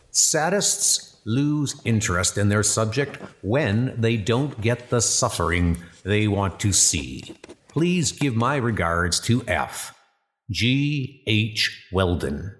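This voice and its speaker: A man voicing a steady, fatherly British commander who gives reassuring, unhurried advice as a mentor in a comic dub. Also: on the low side, a little hiss or hum, full character voice. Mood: encouraging. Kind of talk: comic dub spy drama acting.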